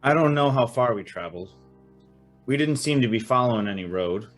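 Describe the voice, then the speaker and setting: A man's voice: mid-range voice, lively intonation, a little fast, faint music behind, natural voice. An actor playing a naive young man in an old-time radio drama, full of wonder and hesitant questions. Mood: hushed narrating. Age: young adult. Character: naive, awestruck young male guest and narrator.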